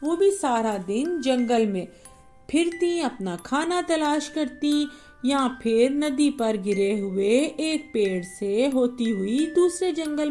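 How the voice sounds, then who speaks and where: A woman's voice: high-pitched, a little mellow. A woman narrating a bedtime story for children in a simple style, addressing her young listeners directly.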